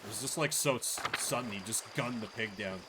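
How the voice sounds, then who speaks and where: A man's voice: lowish voice, a little fast, background noise. A man giving casual commentary as he streams his playthrough of a game.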